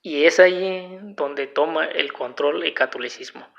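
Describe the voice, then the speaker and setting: Man, non-native speaker, slow gravelly delivery with a tense edge, dull lo-fi home recording. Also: on the high side, a bit bright. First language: a language other than Spanish. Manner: halting, circles back and restates points. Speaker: video lecturer. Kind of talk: unscripted explanatory monologue.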